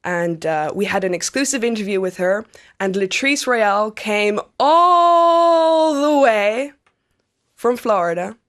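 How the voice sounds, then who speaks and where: A woman's voice: medium pitch, animated, slow, fairly bright tone. A woman hosting a show in a casual, enthusiastic way, talking to viewers and guests. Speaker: TV show host and interviewer. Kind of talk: casual presenting to camera.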